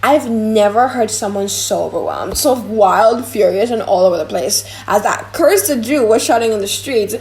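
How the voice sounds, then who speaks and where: A woman playing a gossipy, excitable young man in conversational audiobook drama dialogue. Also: medium pitch, moderate pace, few pauses, lightly acted.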